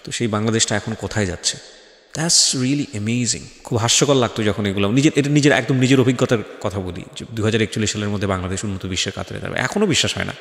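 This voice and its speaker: Fast, low-voiced Bangladeshi male host. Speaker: talk show host. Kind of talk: interviewing and reflective commentary. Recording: echoey, reverberant room.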